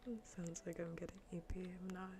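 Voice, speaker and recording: A woman livestreamer chatting casually in a soft, breathy, often near-whispered ASMR style, close to the microphone, languid, teasing and blunt. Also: on the low side, monotone, brisk. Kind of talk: soft-spoken ASMR, casual livestream chat while gaming. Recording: faint background noise.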